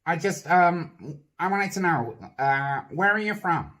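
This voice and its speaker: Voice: medium pitch, animated, a little slow, fairly bright tone. A puppeteer voicing a nosy, clumsy sock puppet host who asks awkward questions full of nervous stammers. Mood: prying. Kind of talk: comedy puppet sketch.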